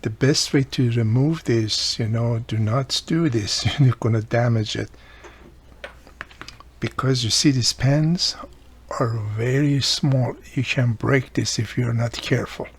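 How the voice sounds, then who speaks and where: Slow, gravelly man giving a tutorial. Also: medium pitch, a little hiss or hum. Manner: non-native-sounding phrasing with dropped articles and loose grammar; plain-spoken, instructive, often checking with "right?". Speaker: radio repair hobbyist demonstrating a restoration. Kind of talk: casual step-by-step tutorial narration.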